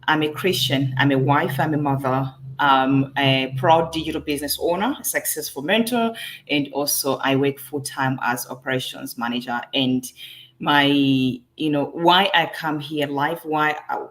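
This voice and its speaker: A woman from Malawi introducing herself on a video-call livestream, speaking in a warm, faith-filled way with frequent "you know"s. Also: deep voice, measured pace, poor audio. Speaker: guest mentor.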